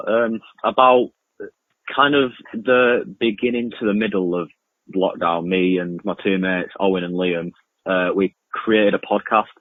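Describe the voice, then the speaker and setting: A young man answering casually as a radio interview guest, hesitant and informal, often repeating himself. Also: low voice. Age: young adult. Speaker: interview guest, amateur music producer and podcaster. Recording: muffled lo-fi, roomy echoing remote link.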